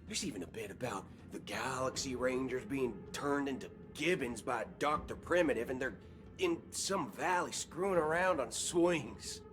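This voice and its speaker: An actor playing a swaggering, wry cowboy gunslinger in a video game, talking in folksy slang. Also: male voice, mid-range voice, a bit bright, close to an everyday voice. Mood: sly. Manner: folksy slang, slow drawling delivery. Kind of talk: video game cutscene acting. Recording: game audio with background music.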